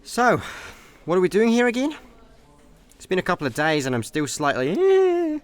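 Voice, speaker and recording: A man's voice: sits high, huge pitch swings, moderate pace. A Scottish man playing a bumbling, chatty space layabout in a comedic radio play, rambling and exasperated. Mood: clueless, puzzled.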